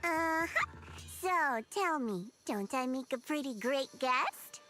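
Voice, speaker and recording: A woman's voice: high voice, expressive, slow pace, bright, forceful tone, faint music behind, heavily acted. A woman voicing a teasing, self-assured young female agent in a video game, with a playful, smug, coaxing delivery.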